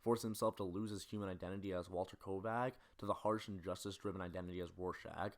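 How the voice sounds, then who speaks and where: Video essayist: young male, deep-pitched, brisk scripted narration. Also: fairly even intonation. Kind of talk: scripted film-analysis narration.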